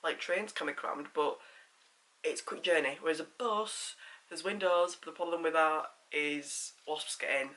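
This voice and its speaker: A man's voice: a little high, fairly even intonation, normal speed, sharp tone. A man with a Yorkshire accent, chatting casually to camera as a vlogger, rambling with lots of fillers, a bit congested with a sore throat.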